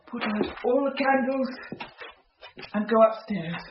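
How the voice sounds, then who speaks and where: Actress playing a slow, anguished possessed woman. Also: on the high side, noisy background, natural voice. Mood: eerie, entranced. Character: possessed woman voicing a starving, embittered dead mother. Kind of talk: horror radio drama acting. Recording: dull, lo-fi old radio recording.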